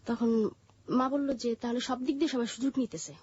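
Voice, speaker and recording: Bangladeshi female interview guest, high-pitched husky voice, halting personal narration, dull lo-fi sound. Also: fairly even intonation, a little mellow, a little hiss or hum. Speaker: interview guest telling her life story.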